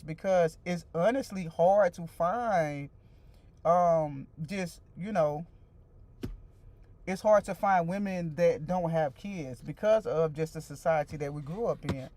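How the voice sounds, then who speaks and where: A woman's voice: on the low side, measured pace, darkish tone. A woman in her forties hosting a casual stream, talking loosely and repeating herself as she chats straight to her viewers and answers their comments. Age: 40s. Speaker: livestream host.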